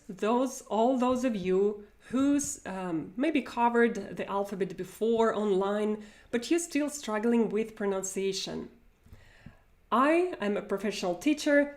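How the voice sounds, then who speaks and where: A woman's voice: medium pitch, a little mellow. A woman in her forties with a Russian accent, teaching a live online language lesson from home in a friendly, chatty way with plenty of fillers. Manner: friendly, chatty, laughs often, many fillers. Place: home room with bookshelves. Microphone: wired earphone mic.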